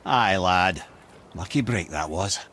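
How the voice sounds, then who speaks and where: An actor playing a witty, worldly old counselor with a Scottish accent, telling tales in a wry, eloquent, theatrical manner for a video game. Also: male voice, deep voice, expressive, slow pace, noisy background, full character voice. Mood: rueful. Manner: wry, eloquent, theatrical storytelling with archaic turns. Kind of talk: video game character storytelling. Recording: clear boat and water ambience behind.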